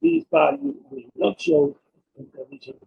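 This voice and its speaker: A man giving an explanatory academic slide presentation, speaking haltingly and often repeating and rephrasing himself. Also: very high voice, big pitch swings, gravelly, dull audio. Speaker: presenter of a technical talk.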